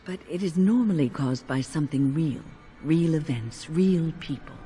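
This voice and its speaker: A woman plays an earnest female healer in a fantasy game. Her voice is very low for a woman and full of compassion. Music is clearly heard behind her. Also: normal speed, mellow, lightly acted. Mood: appalled. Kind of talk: fantasy video game dialogue.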